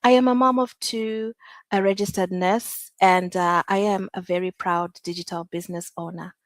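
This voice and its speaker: A woman's voice: medium pitch, fairly even intonation, normal speed, no echo, studio quality. A middle-aged woman with a Zimbabwean accent hosting a casual live talk show, speaking hesitantly and repeating words for emphasis. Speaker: host.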